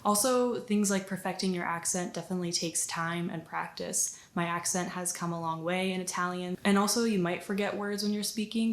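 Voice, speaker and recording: Young woman, lowish voice, encouraging vlog tips, roomy echo. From United States. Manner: upbeat, encouraging advice. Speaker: language-learning vlogger. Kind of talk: scripted talk to camera. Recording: echoey room.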